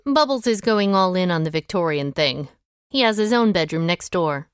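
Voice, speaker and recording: A woman's voice: on the low side, expressive, moderate pace, smooth, lightly acted. Woman acting a guarded, wry amateur sleuth. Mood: wry, businesslike. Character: guarded, independent small-town woman amateur sleuth with a past. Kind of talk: audio drama dialogue acting.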